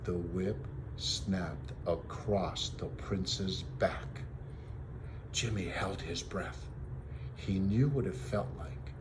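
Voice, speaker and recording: Low-voiced man reading a children's story. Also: steady tone, drawn-out words. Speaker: teacher reading aloud. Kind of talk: expressive children's story narration. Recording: noisy home recording.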